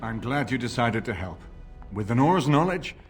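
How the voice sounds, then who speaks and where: An actor playing a shrewd, calculating nobleman with a courteous, measured, formal and persuasive manner. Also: mid-range voice, big pitch swings, faint music behind, lightly acted.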